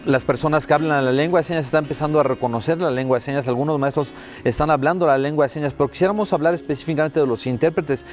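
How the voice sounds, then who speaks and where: A man with a Mexican accent voicing a deaf guest's words as an interpreter in a TV interview, steady and first-person with many "eh" fillers. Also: a little high, fast talker, few pauses, faint music behind.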